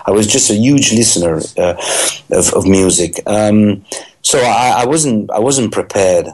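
Halting middle-aged raised man. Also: fairly low pitch, darkish tone, husky, poor audio. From Italy. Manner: halting, many fillers and restarts. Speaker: musician guest. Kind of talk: interview answers.

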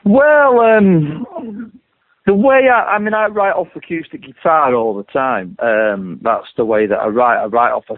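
A middle-aged man with a deep voice, a singer-songwriter rambling through an interview with many restarts and repeated words. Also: a little slow, no room sound. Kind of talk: casual interview talk. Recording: dry tinny phone line.

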